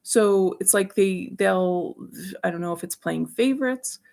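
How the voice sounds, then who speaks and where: A woman giving rambling opinion commentary as a video host, speaking haltingly but emphatically, with an aggrieved manner. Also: mid-range voice, creaky, darkish tone.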